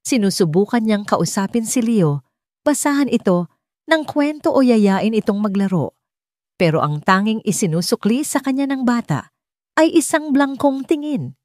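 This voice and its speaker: A woman narrating a scripted dramatic story in a measured, earnest storytelling manner. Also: fairly high pitch, big pitch swings, a little mellow, dry recording, studio quality.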